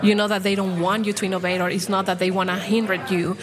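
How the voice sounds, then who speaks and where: A woman's voice: mid-range voice, little pitch movement, quick pace, over music. A woman in her forties from Latin America, a bank program director speaking as an interview guest, passionate and full of restarts and fillers. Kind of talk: podcast interview.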